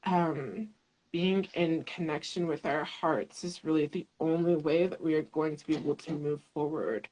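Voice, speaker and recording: Woman guest, very low voice, thinks aloud with fillers, reflective. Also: steady tone, moderate pace, darkish tone, poor audio. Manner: searching, many fillers and restarts, thoughtful. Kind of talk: reflective conversation.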